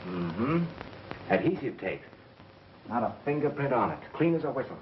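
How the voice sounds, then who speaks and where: An actor playing a clever, dry, self-assured amateur sleuth with wry retorts, in a 1930s mystery film. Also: male voice, medium pitch, sing-song, lightly acted. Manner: wry, brisk deductive retorts. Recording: muffled, hissy 1930s film soundtrack.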